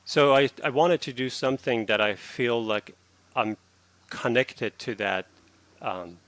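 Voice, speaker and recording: A man in his thirties from Iran, a classical guitarist answering interview questions earnestly, with halting restarts. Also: mid-range voice, fairly bright tone, no room sound.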